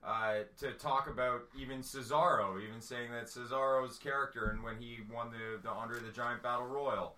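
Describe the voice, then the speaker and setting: A man co-hosting a casual podcast, agreeable and quick to back up his partner. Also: mid-range voice, fairly even intonation, sharp tone, distant mic. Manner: agreeable, chiming in with quick backing. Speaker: podcast co-host. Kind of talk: casual wrestling podcast chat.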